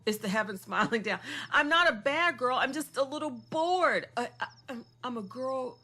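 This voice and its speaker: A woman's voice: high-pitched, lively intonation, moderate pace, sharp tone, full character voice. An actress playing a gleefully unhinged young female killer in an audio horror drama, chatty, taunting and mocking. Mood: gleeful menace. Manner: taunting, chatty, foul-mouthed, swinging between glee and menace.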